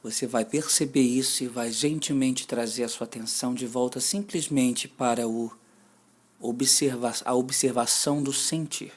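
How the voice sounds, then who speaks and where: A man with a Brazilian Portuguese accent guiding a mindfulness meditation, giving gentle, deliberate instructions with long pauses. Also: medium pitch, steady tone.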